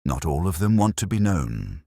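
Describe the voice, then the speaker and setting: A man's voice: low-pitched, very animated, moderate pace, warm tone, no room sound, studio quality. A man voicing a weary, guarded, deferential older college porter in a narrated mystery story.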